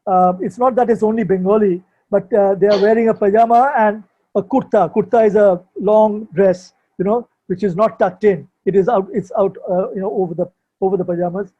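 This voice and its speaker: High, husky older man. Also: fairly even intonation, fairly quick, mellow, muffled recording. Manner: hesitant, repetitive, searching for words. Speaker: poet and sculptor, guest at a book launch. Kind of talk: informal explanation at an online book launch.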